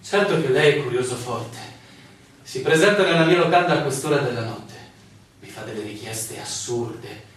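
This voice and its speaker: Un attore che interpreta in un radiodramma un locandiere burbero e inospitale, brusco, impaziente e pronto a rimproverare. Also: male voice, medium pitch, normal speed, natural voice. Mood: scolding. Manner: brusque, scolding. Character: gruff, inhospitable male innkeeper, grudging and impatient. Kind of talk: theatrical radio drama acting. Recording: echoing room, distant mic, faint noise.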